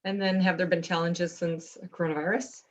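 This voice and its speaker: A woman's voice: fairly low pitch, level tone, fairly quick. A woman interviewing a guest over a video call, asking questions in a casual, friendly and direct way.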